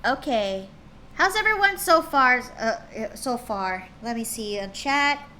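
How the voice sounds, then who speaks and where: Woman streamer, reading a story aloud, chatty, stumbles on words, fairly high voice, faint hiss. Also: expressive, measured pace, sharp tone.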